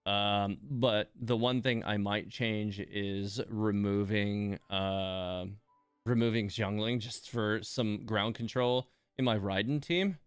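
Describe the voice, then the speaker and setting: A man streaming a video game, thinking aloud in a relaxed, informal way as he gives casual live commentary. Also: on the low side, slow pace, smooth, no room sound, clean studio sound, soft background music.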